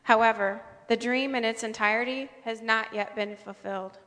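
A woman reading a scripted formal speech in an earnest, measured way. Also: a little high, steady tone, fairly bright tone. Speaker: speaker at a convocation. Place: large indoor hall. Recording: reverberant hall.

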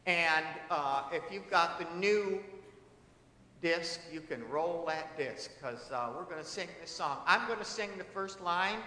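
A man's voice: on the high side, animated, measured pace, pushed and bright, a little hiss or hum. A man speaking in a folksy, exhorting way as a folk singer introducing a song and coaching an audience to join in. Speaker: folk singer leading a singalong. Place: large indoor hall at a public convocation. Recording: echoey hall with music behind.

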